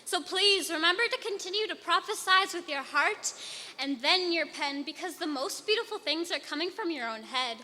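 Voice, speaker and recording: A woman's voice: very high-pitched, expressive, normal speed, bright, forceful tone. A teenage woman performing spoken word poetry with rhythmic, emphatic delivery on a stage. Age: teens. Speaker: slam poet. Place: auditorium stage. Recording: reverberant hall.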